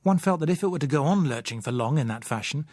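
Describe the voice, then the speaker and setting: A man's voice: on the high side, lively intonation, fast, darkish tone, no echo. British man narrating, close dry sound. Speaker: audiobook narrator. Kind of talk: scripted first-person novel narration.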